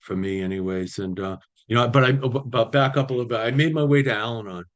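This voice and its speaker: Halting older man. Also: mid-range voice. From Boston area, US. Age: 60s. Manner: halting, many ums and you-knows, restarts. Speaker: speaker at a recovery meeting. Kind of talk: personal recovery share. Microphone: video call.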